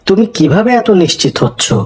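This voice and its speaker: An actor voicing a wise, fair-minded, pious village man in a cartoon, measured and moralising. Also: medium pitch, expressive, close to an everyday voice. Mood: questioning. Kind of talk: cartoon voice acting.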